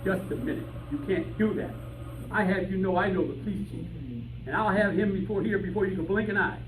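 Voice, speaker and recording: Man acting a cocky, high-pitched bungling detective, echoey. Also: steady tone, fairly quick, natural voice. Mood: blustering. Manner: boastful, muddled. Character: bungling, cocky private detective man seeking his canary. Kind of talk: old-time radio detective comedy acting. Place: live rehearsal in a room with audience. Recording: distant echoey room recording, noisy.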